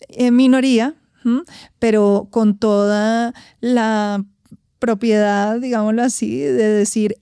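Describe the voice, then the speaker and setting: A woman from Colombia with a Bogotá accent, a guest speaking thoughtfully in an interview, often pausing to find words and adding tag questions. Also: medium pitch, dark tone, smooth, dry recording, clean studio sound. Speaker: guest, actress and vocal coach. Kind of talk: reflective interview talk.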